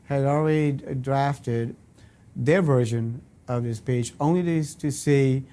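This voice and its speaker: A man speaking as an archivist in a documentary interview, explaining things in a somewhat halting way. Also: medium pitch, dark tone.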